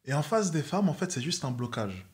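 A man's voice: on the high side, big pitch swings, brisk, darkish tone. Young male host, teasing chat style, desk mic, indoor stream set. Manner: teasing, repeats "cap" to tease. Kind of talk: casual chat on a livestream. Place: indoor living room set. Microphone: headset with desk microphone.

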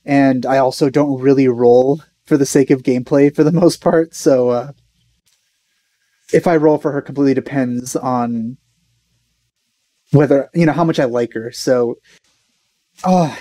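Mellow man, dry sound. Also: mid-range voice, no echo. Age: young adult. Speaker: reaction video host. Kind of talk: casual reaction commentary.

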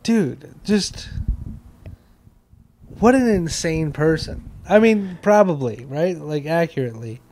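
Animated American man with a higher voice. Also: takes it slowly, slight background noise. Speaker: comedy podcast co-host. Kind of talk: casual comic banter. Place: small wood-panelled podcast room, indoors. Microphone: handheld microphone held close.

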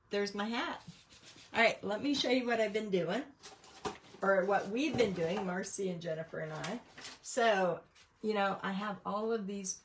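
Casting: woman, mid-pitched and unhurried, rambling casual painting teacher for a craft livestream. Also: a little hiss or hum. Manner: rambling, chatty show-and-tell. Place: indoors at a craft table.

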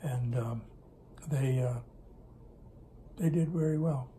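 A man's voice: medium pitch, slow, dark tone, a little hiss or hum. Older man rambling through stories at home. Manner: rambling, self-correcting storyteller. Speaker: interviewee recalling business in Russia. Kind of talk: casual storytelling interview. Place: home kitchen table, indoors. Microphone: camera on table in front.

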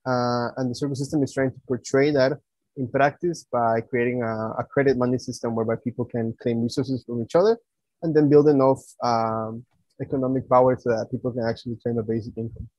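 Mellow man on a dull video call. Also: mid-range voice, steady tone, fairly quick, dry recording. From Guatemala. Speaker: interview guest, project coordinator. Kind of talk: explanatory conversation. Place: small room indoors, video call.

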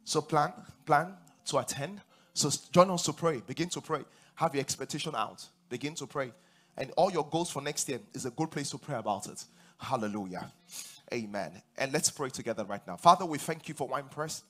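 A man in his forties with a Nigerian accent, preaching a sermon on a large church stage, animated and rising to loud emphatic declarations. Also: mid-range voice, brisk. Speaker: pastor.

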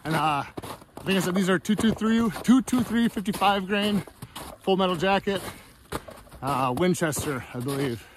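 A man's voice: high-pitched, normal speed. A man hosting an outdoor vlog, chatting casually to the camera. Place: outdoors at a winter campsite. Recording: noisy outdoor camera audio.

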